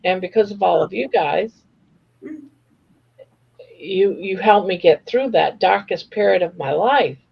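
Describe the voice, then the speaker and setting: A woman's voice: mid-range voice, expressive, a little slow, vocal fry, long pauses, slightly rough. A woman in her sixties chatting casually and candidly as host of a live panel, heartfelt and plain-spoken. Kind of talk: casual personal chat. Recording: slightly dull, lo-fi livestream audio.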